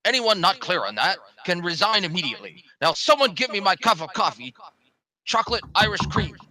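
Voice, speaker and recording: A man acting a gruff, bullying police captain in a screenplay table read, curt and commanding. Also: high-pitched, big pitch swings, fairly quick, sharp tone, heavily acted. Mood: stern, irritated.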